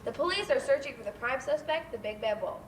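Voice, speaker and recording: A serious female newsreader acted on stage by a woman, high in pitch. She delivers a formal bulletin, heard at a distance in an echoey space. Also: lively intonation, fast. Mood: urgent, formal. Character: serious female breaking-news reporter. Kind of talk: stage play newscast delivery. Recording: roomy, distant stage recording with faint noise.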